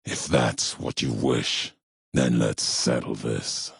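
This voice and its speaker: A haunted, vengeful wanderer from a video game. The voice is extremely low and thick with gravelly fry, worn husky at the edges. He speaks gravely in short, cryptic, measured phrases, in no hurry at all. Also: fairly even intonation, dry recording, clean studio sound, full character voice. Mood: menacing, terse. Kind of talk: video game character dialogue, solemn monologue.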